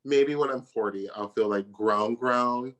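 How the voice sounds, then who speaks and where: A woman's voice: rumbling low, measured pace. A woman in her early thirties, a guest in a casual interview, talking in a playful, chatty way and laughing easily. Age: 30s. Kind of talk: casual chat.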